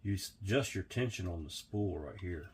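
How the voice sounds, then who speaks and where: A hoarse, sniffly man walks through a workbench repair in an unhurried, chatty tutorial style. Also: low voice, lively intonation, darkish tone, a little hiss or hum. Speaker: vintage reel restorer.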